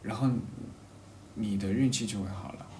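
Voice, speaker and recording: Low voiced guy chatting reflectively, keeps rewording himself, bit echoey with faint noise. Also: a little mellow. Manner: halting, rephrases thoughts as he goes. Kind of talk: casual reflective conversation. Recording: roomy echo, faint background noise.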